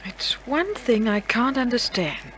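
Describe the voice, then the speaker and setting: A woman's voice: fairly high pitch, big pitch swings, a little slow, a bit bright. A woman playing an anxious, guarded young heiress in an old-time radio crime drama. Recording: noisy old radio recording, vehicle sound.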